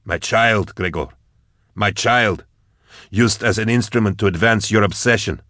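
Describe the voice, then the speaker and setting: Man acting a bitter, grieving, low-voiced older agent. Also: bright, forceful tone, no echo, studio quality. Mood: grieving, accusing. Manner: accusing, measured, rhetorical. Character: bitter grieving old male agent, accusing his commander. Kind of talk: audiobook character acting.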